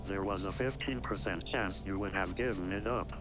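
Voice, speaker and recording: A man voicing a calm, courteous, quietly ominous artificial intelligence with measured, formal delivery in an analog horror story. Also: sits low, over music, lightly acted. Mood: calm, wistful. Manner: measured, formal, speaking in short deliberate statements. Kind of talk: analog horror scripted acting.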